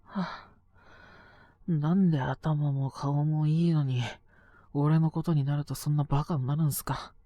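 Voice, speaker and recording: A man's voice: on the high side, fairly even intonation, measured pace, long pauses, dark tone, no echo, close to an everyday voice. A man acting a groggy, sick young boyfriend, close-up and dry. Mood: exasperated, scolding. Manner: casual junior speech, grumbling and murmured. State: coughing, acting sick with a cold. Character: sick, sleepy young man, exasperated yet fond boyfriend. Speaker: voice actor. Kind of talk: Japanese situation voice drama aimed at listeners.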